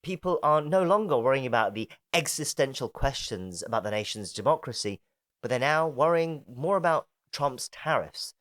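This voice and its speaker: A man hosting a politics podcast, reading a measured scripted intro, then interviewing a guest. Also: medium pitch, animated, fairly bright tone, dry recording, studio quality. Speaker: podcast host. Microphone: video call.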